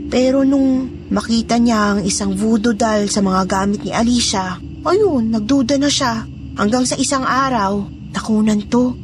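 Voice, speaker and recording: A woman's voice: a little high, noisy background, lightly acted. A woman playing a fearful, loyal housemaid in a Tagalog horror audio drama, confiding and deferential as she tells a suspenseful story. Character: fearful, loyal housemaid revealing dark secrets.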